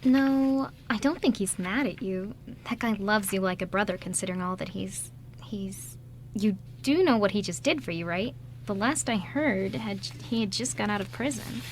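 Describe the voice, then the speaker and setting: A woman's voice: medium pitch, normal speed, a little hiss or hum, close to an everyday voice. A woman playing a caring, frank female friend on a call in an amateur radio drama, chiding but kindly. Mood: reassuring.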